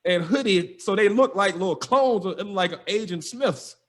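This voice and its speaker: A young man, a podcast host telling a story with lively energy, slangy and acting out the dialogue. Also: high voice, unhurried. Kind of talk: animated storytelling.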